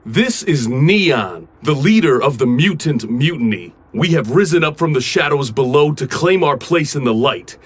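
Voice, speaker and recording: A man acts out a mutant rebel leader, earnest and solemn, with a rather high, very expressive voice. He speaks emphatically in a roomy space with faint noise behind, like a cartoon drama hero. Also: normal speed, close to an everyday voice. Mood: rousing, defiant. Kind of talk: animated audio drama acting.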